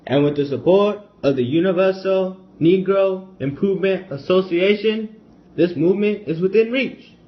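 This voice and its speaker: A man's voice: sits high, normal speed, lightly acted. Man playing a formal 1920s radio man, muffled. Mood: earnest. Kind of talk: scripted amateur radio broadcast role-play. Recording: dull, lo-fi recording.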